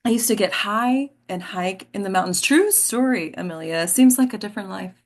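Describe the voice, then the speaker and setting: A woman playing a warm, wry mother in a naturalistic table read of a play, conversational and unhurried. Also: medium pitch, lightly acted. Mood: fond, reminiscent. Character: warm, wry mother who cherishes her wrinkles.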